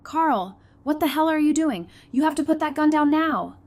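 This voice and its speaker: A woman's voice: high-pitched, animated, normal speed, a little mellow. A woman playing a protective, strong-willed young survivor in an audio drama, earnest and plain-spoken. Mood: urgent.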